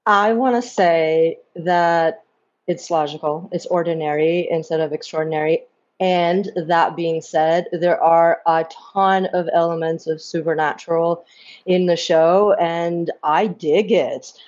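A woman guest joining by video call, relaxed and musing, with lots of "like". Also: lowish voice, unhurried, lo-fi sound. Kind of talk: casual chat.

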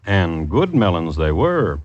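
A man narrating a scripted old-time radio drama in a wry, measured way, on a dated recording. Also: deep voice, big pitch swings, noisy background. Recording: dull vintage radio recording with music.

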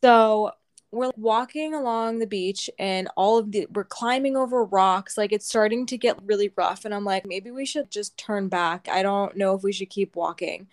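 A young woman co-hosting a podcast, telling a story in a casual, animated way and swearing freely. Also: mid-range voice, normal speed. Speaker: podcast co-host.

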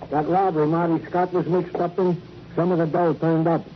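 A man's voice: a little high, little pitch movement, moderate pace, lightly acted. A man playing a matter-of-fact police officer reporting in clipped, businesslike style in a classic radio crime drama. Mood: brisk. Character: matter-of-fact male police colleague reporting case facts. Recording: dull old radio recording, faint noise.

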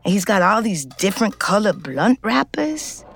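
An actress playing a streetwise, weary middle-aged ex-convict who narrates an audio drama in a frank, wistful, conversational way with slangy swearing. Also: female voice, mid-range voice, big pitch swings, gravelly, a little hiss or hum. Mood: dry, guarded. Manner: conversational, halting, profane slang. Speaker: lead actor and narrator in scripted fiction podcast. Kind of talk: intimate first-person audio drama narration.

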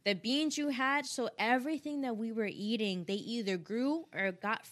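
Bright-voiced young woman chatting. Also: on the high side, moderate pace. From Dominican heritage, raised in the United States. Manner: animated storyteller, punctuates with "okay?". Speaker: podcast host. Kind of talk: casual chat.

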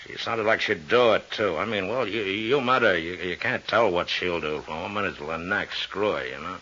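An actor playing a shifty, world-weary gambling boss and former bootlegger in a 1950s radio drama, gruff, blunt and slangy, with an American accent. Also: male voice, deep voice, moderate pace, bright, forceful tone. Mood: shifty, confiding. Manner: blunt, slangy tough-guy talk. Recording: dull old radio recording.

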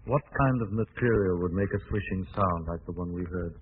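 An actor playing a resolute, inquisitive amateur sleuth in an old-time radio mystery, with an assured, dramatic, probing delivery. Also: male voice, sits low, a little fast, lightly acted. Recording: tinny, noisy vintage radio recording.

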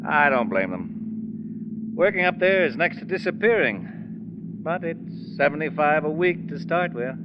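Over car noise on a muffled old radio recording, a middle-aged manager with a highish voice. He is folksy and affable on the surface, coaxing and scheming underneath, in radio drama style. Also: animated, unhurried. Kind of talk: 1940s radio drama acting.

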